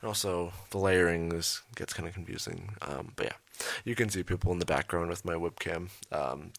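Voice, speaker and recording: A young man hosting his art channel, rambling through a late-night voiceover with lots of ums, speaking quietly and a little raspy. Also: sits low, steady tone, normal speed, dry recording. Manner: rambling, many ums, trailing off. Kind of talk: casual voiceover over a speed drawing. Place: his bedroom at home, at night.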